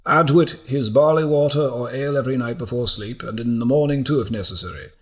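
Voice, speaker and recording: A man's voice: mid-range voice, pitched above the performer's own voice, natural voice. Man playing a firm older monastic mentor, raised voice. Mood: firm, decisive. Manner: firm, measured, instructive. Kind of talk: audiobook character acting.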